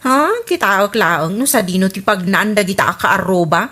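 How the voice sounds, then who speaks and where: A woman's voice: medium pitch, moderate pace, sharp tone, breathy edge. A woman with an Ilocano accent playing an exasperated, nosy neighbourhood woman in a comedy radio drama.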